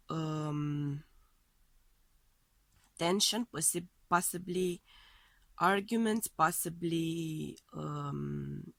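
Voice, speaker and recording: Slow, low-voiced woman with a cold. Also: no echo. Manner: tentative, searching phrasing as she reads cards. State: voice thrown off by a heavy cold. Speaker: tarot card reader. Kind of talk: weekly tarot love reading.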